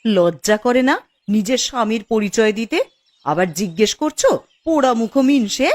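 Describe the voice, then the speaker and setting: A woman's voice: fairly high pitch, expressive, normal speed, natural voice. A woman playing an emotional village wife in a fairy-tale cartoon, with a dramatic, emphatic delivery. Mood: scornful, angry. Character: emotional village wife, by turns scolding and tender.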